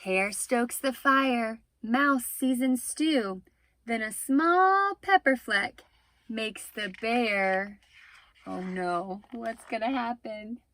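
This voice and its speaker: Slow, expressive woman reading to children. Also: a little high. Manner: expressive, instructive, addressing young listeners. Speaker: storyteller reading a picture book aloud. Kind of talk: animated children's read-aloud with explanatory asides.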